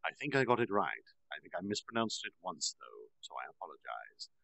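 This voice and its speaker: A man reads a novel aloud for his stream in an earnest voice with quick apologetic asides. His tone has a gravelly grain and moves lively up and down. The sound is close and dry. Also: deep voice, no room sound, rough recording. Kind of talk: casual storytime reading.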